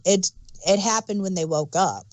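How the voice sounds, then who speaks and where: A woman's voice: medium pitch, normal speed, no echo. A woman reviewing in a casual podcast conversation, speaking in a blunt, matter-of-fact way. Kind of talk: casual review chat about an audio drama.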